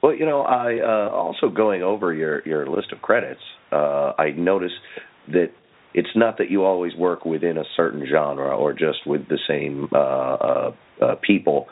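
A man hosting a casual podcast interview, speaking in halting, conversational phrases. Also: low voice. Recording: muffled narrowband phone-like audio.

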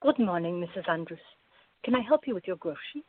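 Actress as a husky, sensible young woman. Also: on the low side, lively intonation, fast talker. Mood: polite, guarded. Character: sensible, gently teasing young working woman. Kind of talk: radio drama acting. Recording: dull lo-fi recording.

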